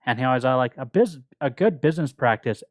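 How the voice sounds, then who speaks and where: Guy on a book club podcast, mid pitch, close dry sound, thinking out loud. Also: lively intonation, fast, no room sound. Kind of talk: casual book discussion.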